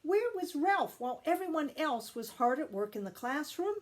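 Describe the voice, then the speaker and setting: A woman reading a children's story aloud with expressive, deliberate emphasis. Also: high voice. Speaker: storytime reader, teacher.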